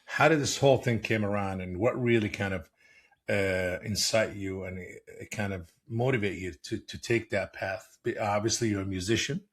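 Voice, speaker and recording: A man's voice: lowish voice, normal speed. A man hosting a podcast interview, asking long, rambling questions that he often restarts. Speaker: podcast host.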